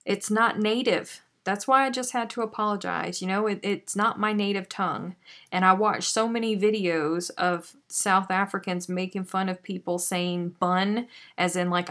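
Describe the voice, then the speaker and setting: A woman's voice: medium pitch, a bit bright. Chatty woman hosting a live craft stream. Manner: chatty and self-deprecating, with sighs and laughs. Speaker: host of a live crochet stream. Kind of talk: casual chat while crocheting, reading viewer comments.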